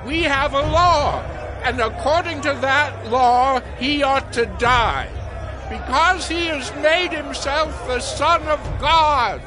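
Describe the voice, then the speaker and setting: An actor playing a stern, accusing chief priest, declaiming loudly in a scripture drama. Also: male voice, very high voice, takes it slowly. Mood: accusing, insistent. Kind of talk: dramatized scripture audio acting. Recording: noisy crowd scene, distant voice.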